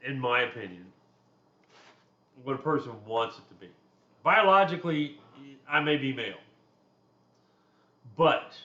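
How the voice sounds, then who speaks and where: A man's voice: a bit bright, echoey room, lo-fi sound. A man, giving a recorded class lecture in a loose, conversational way with personal asides. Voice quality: deep voice. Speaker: instructor.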